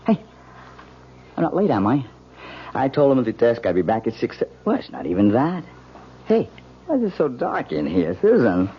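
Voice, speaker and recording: An actor playing an affable husband in an old-time radio mystery, talking in a casual, affectionate, breezy way. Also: male voice, mid-range voice, wildly swooping pitch, moderate pace, vocal fry, long pauses, husky, exaggerated voice. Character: affable husband returning to his wife. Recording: dull, noisy old radio recording.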